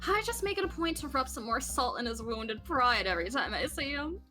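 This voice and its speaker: A woman voicing a giggly, sharp-tongued lawyer. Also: high voice, animated, fairly quick, faint music behind. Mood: teasing. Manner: giggly, teasing, breezy.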